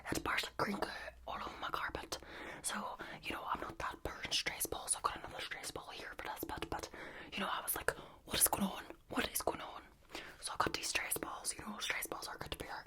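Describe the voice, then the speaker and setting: A frazzled, rambling woman whispers over and over about her day's small disasters, as an ASMR creator puts her on. She repeats herself and fusses in a breathy hush at a mid pitch. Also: natural voice. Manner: whispered, repetitive, exasperated rambling. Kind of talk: ASMR role-play.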